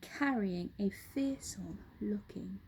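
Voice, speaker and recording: A woman reading a children's story aloud expressively, with dramatic, deliberate phrasing. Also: medium pitch, a little mellow, a little hiss or hum, poor audio.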